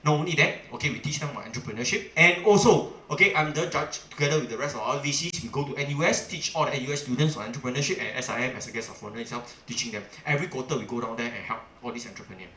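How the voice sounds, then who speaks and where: A middle-aged man with a Singaporean English accent speaks to a seminar audience, rushing his words and pushing each point with a bright, forceful voice. He peppers his talk with "okay" and "ah" and keeps checking with the room. His voice rings out in an echoey room. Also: mid-range voice. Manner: emphatic, asks the audience questions, frequent "okay" and "ah" fillers. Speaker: chamber president giving a seminar.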